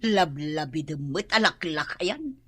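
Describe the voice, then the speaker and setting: A woman's voice: sits low, big pitch swings, a little slow, fairly bright tone, breathy edge, dry recording, clean studio sound, heavily acted. An actress in an Ilocano comedy radio drama playing a wife who scolds her husband for drinking.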